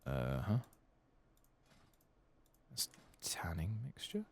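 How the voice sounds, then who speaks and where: Male ASMR gaming host: bass register, fry-laden, soft and murmured, with lively intonation. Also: very slow, slight background noise. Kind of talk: soft-spoken ASMR game playthrough with whispering.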